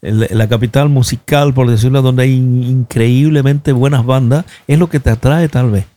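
A man's voice: medium pitch, steady tone, moderate pace, mellow. A man hosting a radio interview in the studio, asking questions that open with "oye" and close with a tag "¿eh?". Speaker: radio host.

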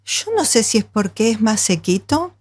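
A woman's voice: mid-range voice, very animated, moderate pace, darkish tone, husky, dry recording. A woman, talking casually as an interview guest. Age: 60s. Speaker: guest, small food business owner.

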